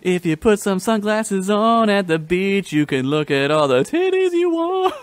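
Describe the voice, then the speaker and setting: A man with a slightly high, very animated voice co-hosts a comedy podcast, recorded close and dry. He banters and tells silly stories with a crude, giggly sense of fun. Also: a little slow, clean tone, dry recording. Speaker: podcast co-host. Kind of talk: casual comedic banter and storytelling.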